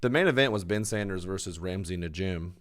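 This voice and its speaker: A man's voice: low voice, expressive, a little fast. A man co-hosting a podcast, chatting casually and explaining sports details. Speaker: podcast co-host.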